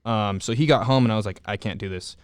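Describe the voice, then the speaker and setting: A young man in casual interview talk, candidly telling his own story with halting restarts and fillers. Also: fairly low pitch, smooth, no room sound, studio quality. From Southern California. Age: young adult. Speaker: returned service missionary guest.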